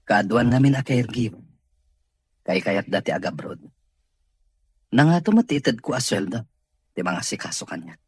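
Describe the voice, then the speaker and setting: An actor as a husky, irritable male boss. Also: medium pitch, big pitch swings, normal speed, long pauses, dry recording, full character voice. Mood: grumbling. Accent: Ilocano. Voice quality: husky, rough. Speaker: radio drama actor. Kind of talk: Filipino radio drama dialogue.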